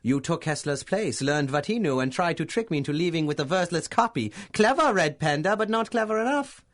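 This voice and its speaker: An actor playing a pompous, fanatical German professor villain with a German accent in a 1930s-style radio adventure serial, gloating theatrically with drawn-out emphasis. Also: male voice, on the high side, animated, fairly bright tone. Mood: vengeful, triumphant.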